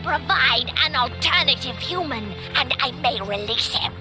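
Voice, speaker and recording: A woman's voice: high-pitched, lively intonation, unhurried, loud music behind the voice. A woman playing a cruel, gloating nymph who threatens and taunts in a fantasy video game. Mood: menacing.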